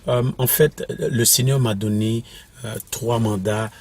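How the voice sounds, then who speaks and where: A minister from Quebec, whose first language is English, answers interview questions in a halting way, with many restarts and fillers. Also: male voice, mid-range voice, steady tone. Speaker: apostolic minister and author.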